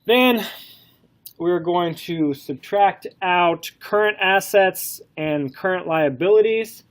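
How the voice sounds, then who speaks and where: A man with a head cold lectures a class, his voice stuffy and nasal. He speaks a little higher than most men and keeps a loose, relaxed pace. He fills pauses with ums and keeps asking the students questions. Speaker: college instructor.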